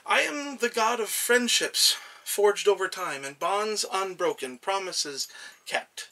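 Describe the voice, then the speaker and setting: A man voicing a humble, loyal male farmer turned god, who speaks softly and steadily with a quiet stubbornness. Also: sits high, slow, exaggerated voice, rough recording. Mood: gentle.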